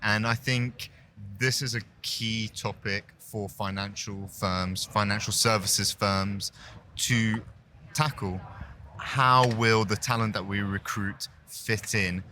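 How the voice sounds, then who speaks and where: A man's voice: fairly low pitch, fairly even intonation, takes it slowly, bright, forceful tone, a little hiss or hum. A young man in his twenties from Hong Kong, a business student, speaking articulately in an interview and posing rhetorical questions. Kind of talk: podcast interview.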